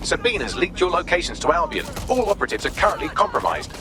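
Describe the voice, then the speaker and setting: A man's voice: on the high side, sing-song, fast talker. A man voicing a prissy, sarcastic artificial intelligence assistant in a video game, articulate and fussy. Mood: exasperated. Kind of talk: video game cutscene acting.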